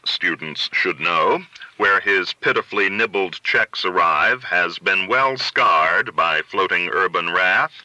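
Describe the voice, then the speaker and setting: Male audiobook narrator, slightly low, very bright and forceful, deliberate theatrical phrasing. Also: dry recording, rough recording. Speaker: audiobook reader.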